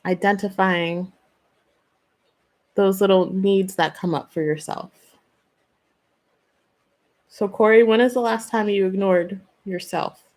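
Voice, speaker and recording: A woman hosting a live stream as a spiritual channeler. She talks in an earnest way, asks questions, gives gentle advice and answers viewers by name. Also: medium pitch, steady tone, slow pace, long pauses.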